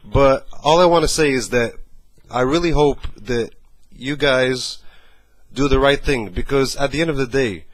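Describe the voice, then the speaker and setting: A man's voice: mid-range voice, unhurried, no echo. A man, talking earnestly with many fillers from a small bedroom, then pleading on a phone call. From Morocco. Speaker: activist caller.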